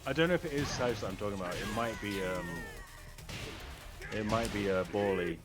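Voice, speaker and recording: A man's voice: fairly low pitch, brisk. A man commentating as a guest over voice chat, chatty and a little self-conscious, breaking down the play. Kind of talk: casual esports commentary. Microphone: online voice chat. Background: game music.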